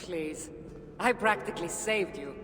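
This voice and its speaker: An actress playing a seasoned, self-reliant wandering warrior woman in a video game, speaking in a measured, earnest way. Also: fairly low pitch, a bit bright, slightly rough. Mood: teasing. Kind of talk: video game cutscene dialogue. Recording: music behind the voice.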